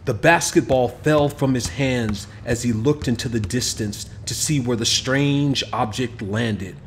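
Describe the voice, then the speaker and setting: A man reading a children's picture book aloud as an expressive scripted narration, with music behind him. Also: mid-range voice, measured pace, slight background noise. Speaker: storybook narrator. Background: background music.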